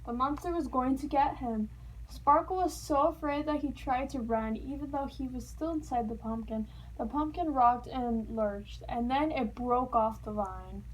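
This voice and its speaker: A woman narrating a children's storybook aloud in an expressive, playful storytelling manner. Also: high voice, normal speed, low-quality recording. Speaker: storybook reader.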